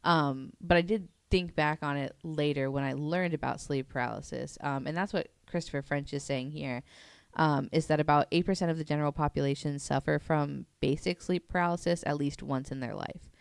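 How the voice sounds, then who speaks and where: Low gravelly woman rambling on a podcast, fillers everywhere, dry sound. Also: fairly quick, hardly any pauses, no echo. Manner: chatty, self-deprecating, many fillers. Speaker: podcast panelist presenting a topic. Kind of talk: casual podcast chat.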